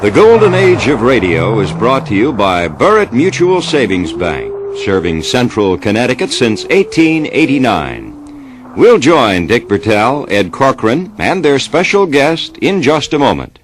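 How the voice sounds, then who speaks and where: A man's voice: mid-range voice, sing-song, a little slow. Male program announcer, scripted sponsor read, hugely varied intonation, light hiss. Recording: radio tape with faint hiss.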